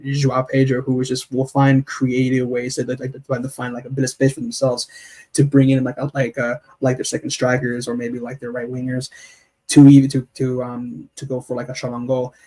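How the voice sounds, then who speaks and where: A man hosting a live football chat, talking in an animated, playful way with lots of fillers and restarts. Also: mid-range voice, fairly quick, rough recording. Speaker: host.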